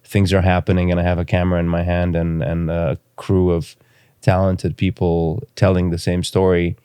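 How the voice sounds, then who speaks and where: A man from Romania, a native Romanian speaker, speaking reflectively as an interview guest and pausing thoughtfully to choose his words. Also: deep voice, completely flat delivery, dark tone, smooth, no echo, studio quality. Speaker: podcast guest, filmmaker.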